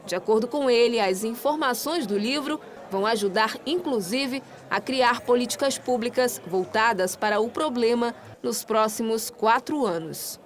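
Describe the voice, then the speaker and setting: A woman with a fairly high voice reads a news package in a measured, steady voice-over. Her delivery is clean and scripted. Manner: measured, even reading. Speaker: TV reporter.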